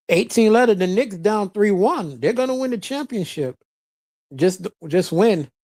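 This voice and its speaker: An adult live-stream host reading viewer comments out loud and answering them in loose casual chat, laughing often and swearing freely. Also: mid-range voice, expressive, unhurried. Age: adult with a child, past teens.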